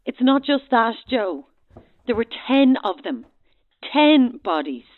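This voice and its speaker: An actress in a horror audio drama playing a worried, insistent friend, speaking firmly and urgently through a telephone filter. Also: female voice, a little high, very animated, slow pace. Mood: careful, grave. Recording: thin, band-limited phone line.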